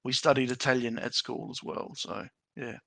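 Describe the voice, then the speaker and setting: A man's voice: mid-range voice, fairly even intonation, moderate pace, vocal fry, fairly bright tone, dry recording. A middle-aged man hosting a podcast, chatting loosely and leaning on "you know" and "um". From Australia. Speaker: podcast host. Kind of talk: casual chat.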